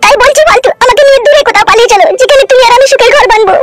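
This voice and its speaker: A woman's voice: very high voice, racing, music in the background. A woman voicing a lovesick, pleading, insistent young woman in an amateur comedy cartoon.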